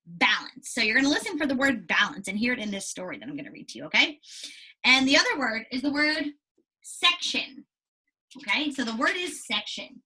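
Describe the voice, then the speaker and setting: A woman teacher giving a read-aloud lesson to young children, animated and explanatory with frequent check-in questions, in a slightly husky voice. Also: fairly high pitch, fairly quick, rough recording. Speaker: elementary school teacher.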